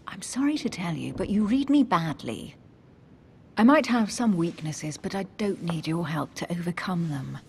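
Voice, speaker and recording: A woman's voice: fairly low pitch, expressive, normal speed, creaky, darkish tone, a little hiss or hum. An actress playing a guarded, witty, self-possessed woman of a secret society in a video game, composed and articulate. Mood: cool, defiant. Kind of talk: dramatic video game dialogue. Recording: music under the voice.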